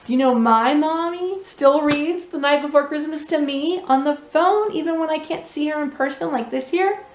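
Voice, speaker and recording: A woman's voice: high-pitched, normal speed, a little hiss or hum. A woman hosting a children's storytime, reading expressively and speaking to young listeners with teacherly questions and asides. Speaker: children's storytime host and reader. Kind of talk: animated read-aloud with asides to young listeners. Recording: roomy echo with music underneath.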